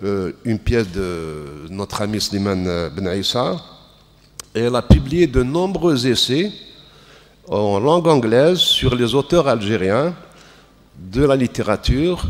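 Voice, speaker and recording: A man formally introducing a guest speaker at a festival, measured with occasional fillers. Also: mid-range voice, lively intonation. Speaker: festival host, moderator. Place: lecture hall. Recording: long reverb of a hall.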